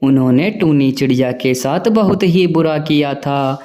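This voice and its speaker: A man narrating a cartoon story in an even, scripted storytelling manner. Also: a little high, measured pace.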